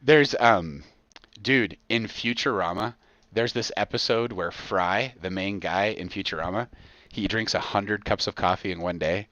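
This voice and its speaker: An American man hosting a casual comedic podcast, talking in an excitable, profane way with punchy repetition. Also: on the low side, a little slow, no echo, highs cut off. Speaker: podcast host.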